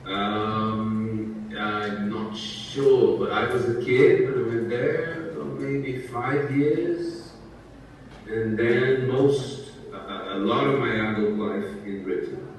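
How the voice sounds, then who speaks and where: A Brazilian man in his sixties, a native Portuguese speaker, talking slowly and clearly in English to students, often checking that he is understood. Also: lowish voice, steady tone, a little hiss or hum. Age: 60s. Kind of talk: talking to students in a language class. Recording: echoey, distant room sound.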